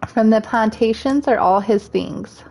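A woman's voice: fairly high pitch, measured pace, warm tone. A woman with a scratchy voice chatting while she plays a game and thinks aloud. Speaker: gaming streamer. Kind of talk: let's play commentary.

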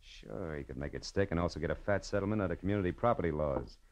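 A hardboiled American private eye from a vintage radio noir. His voice is low and he talks fast, terse and wry. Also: lo-fi sound. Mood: brisk, terse. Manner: clipped, terse, world-weary. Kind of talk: 1940s-50s radio noir acting and narration.

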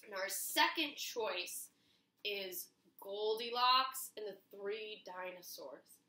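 A woman's voice: mid-range voice, animated, slow, bright, forceful tone. A young woman reading a storybook aloud expressively for children, indoors in a kitchen, recorded from across the room. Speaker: children's read-aloud teacher. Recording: roomy echo, mic at a distance.

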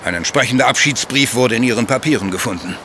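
A gentleman widower under suspicion in a radio play. His voice is middle-pitched and sharp, his manner formal, insistent and defensive. There is faint outdoor ambience behind him. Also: quick pace, slight background noise. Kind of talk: German radio play acting.

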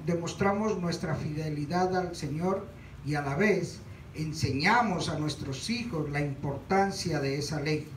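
A man's voice: sits high, a little hiss or hum. A middle-aged man speaking earnestly to a small church congregation before the offering, reading scripture aloud. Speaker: church leader introducing the offering. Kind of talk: church exhortation. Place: small church stage, indoors. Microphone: handheld mic from a far camera. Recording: echoey room, far from the mic.

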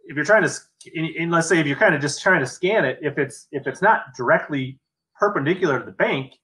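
A man's voice: fairly high pitch, fairly quick, bright, forceful tone. Conversational male host on a video call. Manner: conversational, thinks aloud, asks probing questions. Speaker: podcast host. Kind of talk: casual interview talk. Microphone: webcam on a video call.

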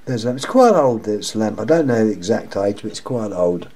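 An older man with a sore, croaky throat, talking casually and in a rambling, repetitive way while showing his lamp collection at home. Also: lowish voice, sing-song, moderate pace, warm tone. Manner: rambling, repeats himself, wry complaints. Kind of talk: casual show-and-tell monologue. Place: indoors at home, table of lamps.

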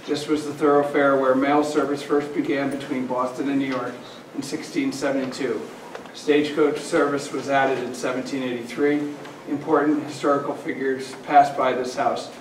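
A man's voice: mid-range voice, level tone, normal speed. A man reading a formal letter aloud at a town meeting, stumbling and repeating phrases now and then. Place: large indoor municipal meeting room. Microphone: far camera microphone. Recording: distant, echoey meeting room with background noise.

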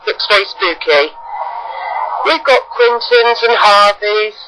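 A woman's voice: medium pitch, slow. Middle-aged woman, English accent, casual rambling notes, thinking aloud, very noisy handheld cassette recorder. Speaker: family history researcher. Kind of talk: casual spoken field notes. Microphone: handheld tape recorder.

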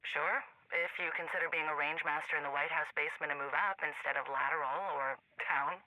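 Casting: a sardonic, worn-down contractor's daughter, very sharp bright voice, close dry radio comms, game dialogue. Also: female voice, low voice, fairly even intonation, a little fast, no room sound, poor audio. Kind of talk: naturalistic video game dialogue.

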